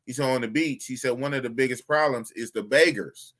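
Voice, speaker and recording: A man's voice: mid-range voice, brisk. A man hosting a casual live podcast chat, joking around and reading viewer comments aloud. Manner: animated, jokey, reads chat comments aloud. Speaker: podcast host.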